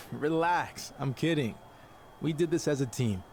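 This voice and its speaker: A man's voice: fairly high pitch, unhurried, darkish tone. An actor playing a cocky, joking male pro wrestler in a video game, with faint noise behind. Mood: teasing.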